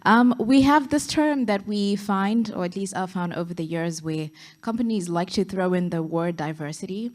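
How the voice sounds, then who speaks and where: A woman's voice: medium pitch, normal speed, few pauses, a little mellow. A young woman in the audience asking the panel an earnest, direct question. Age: young adult.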